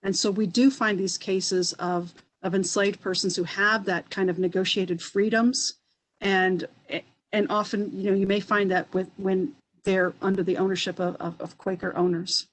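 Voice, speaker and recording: A woman historian speaking on an online panel, thoughtful and halting with many restarts. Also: medium pitch, level tone, poor audio. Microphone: video call.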